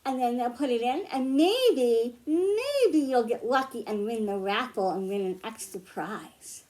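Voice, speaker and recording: A woman with an American accent and a high voice leads a storytime for young children. She takes her time, speaking very slowly in simple phrases pitched at little listeners, as a children's librarian does. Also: lively intonation, darkish tone. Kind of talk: picture-book read-aloud and simple teaching for young children.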